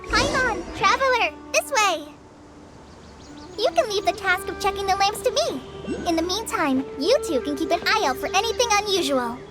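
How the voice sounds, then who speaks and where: A woman voicing an eager young trainee forest ranger girl in an animated video game, polite and conscientious. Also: very high voice, moderate pace. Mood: helpful, earnest. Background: background music.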